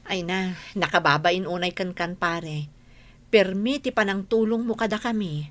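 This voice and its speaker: A woman's voice: on the low side, measured pace, a bit bright. A woman playing a beleaguered married mother who sells food in a village, in Filipino-accented radio drama. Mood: modest, hesitant.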